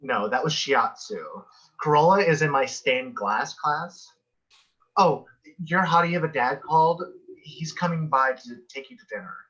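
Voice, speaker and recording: A man's voice: fairly high pitch, unhurried, fairly bright tone. A man acting a sassy, nitpicking, gossipy office coworker in a sitcom table read, arch and wry, over a muffled video call. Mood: catty, gossipy.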